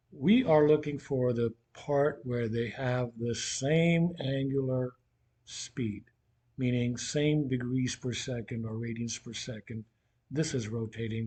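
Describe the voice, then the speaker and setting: A man's voice: medium pitch, mellow, slightly rough. A man teaching in an informal, conversational way, talking through a worked physics problem as if thinking aloud.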